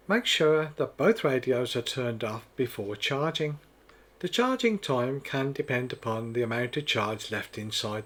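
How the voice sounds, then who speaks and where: A man's voice: medium pitch, a bit bright, slightly rough. A man narrating a scripted product demonstration in a methodical, step-by-step explaining manner. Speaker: product reviewer.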